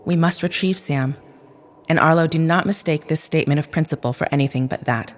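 A woman playing a cold, unsparing otherworldly woman in an audio drama, with measured, formal, precise diction. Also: deep voice, lightly acted. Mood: solemn, resolute.

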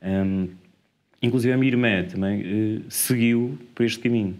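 A man in his thirties with a very deep voice answers questions on a TV studio set. He talks thoughtfully and hesitantly, full of fillers and restarts. A lapel mic picks him up closely. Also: normal speed, darkish tone. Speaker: actor and drama teacher. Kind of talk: interview answers.

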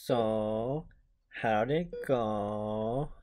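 A man voicing a dry, reluctant friend in a cartoon, deadpan and hesitant. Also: on the low side, extremely slow, faint music behind. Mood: unimpressed. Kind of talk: animated cartoon voice acting.

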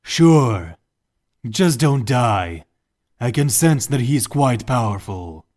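A man's voice: mid-range voice, expressive, takes it slowly, a little mellow. Man playing a blunt, stern alien warrior. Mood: curt, commanding. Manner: blunt, commanding. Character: stern alien warrior, confident and impatient male. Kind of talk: fan audio drama acting.

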